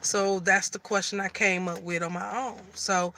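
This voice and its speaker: Slightly raspy woman, bright tone. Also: medium pitch, little pitch movement, moderate pace. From Michigan. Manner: rambling, restarts sentences often. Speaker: vlogger. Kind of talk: casual live-stream chat.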